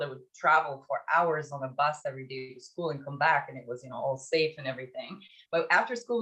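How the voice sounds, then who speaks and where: A woman in her forties from Ukraine, telling stories in a reflective, halting way as an interview guest. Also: deep voice, a bit bright, rough recording. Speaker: podcast guest, startup founder. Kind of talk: conversational interview storytelling.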